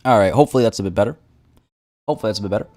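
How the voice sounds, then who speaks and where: Low-voiced chatty game streamer man. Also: racing, dark tone. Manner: rambling, chatty, many restarts. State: slightly rough morning voice. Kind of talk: casual live-stream chat.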